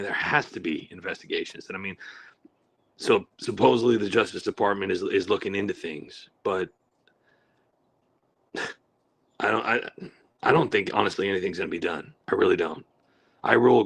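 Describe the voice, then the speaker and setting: A man with a lisp, chatting on a live stream from outdoors in the woods in a halting, rambling way full of fillers. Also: fairly low pitch, gravelly, fairly bright tone, slightly rough. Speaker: livestream host. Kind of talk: casual live-stream chat.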